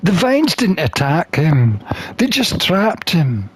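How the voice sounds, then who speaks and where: A man reading a visual novel aloud in an expressive storytelling style. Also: on the high side, takes it slowly, husky. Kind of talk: expressive scripted narration and character reading.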